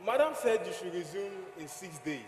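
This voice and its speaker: A man's voice: sits high, big pitch swings, fairly quick. A man on stage playing a polite office messenger, deferential in his way of talking. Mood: respectful. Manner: deferential, addresses others as sir and ma. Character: polite male office messenger bearing good news. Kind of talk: stage play acting. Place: stage in a hall with audience. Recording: distant mic in echoey hall, audience noise.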